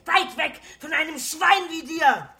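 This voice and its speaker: An actress playing a bitter, furious shopkeeper's wife, scolding and insulting in a theatrical radio drama manner. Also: female voice, sits high, measured pace, bright, forceful tone, heavily acted. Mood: furious, anguished. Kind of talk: German radio drama acting.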